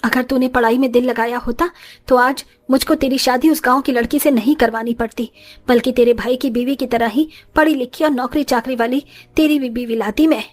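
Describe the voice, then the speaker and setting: A woman's voice: sits high, steady tone, brisk, lightly acted. A woman voicing a grumbling middle-aged mother-in-law in a moral story cartoon, full of exclamations and complaints.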